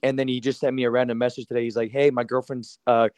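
A man calling in to a sports radio show, eager and gushing, with a slightly raspy voice. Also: mid-range voice, little pitch movement, quick pace, a little mellow, dry recording. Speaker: caller. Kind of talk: phone-in chat.

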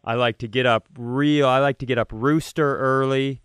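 American male podcast co-host, crisp voice in a dry close space, casual comic storytelling. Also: mid-range voice, steady tone, moderate pace, a bit bright, dry recording. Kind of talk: casual comedic chat.

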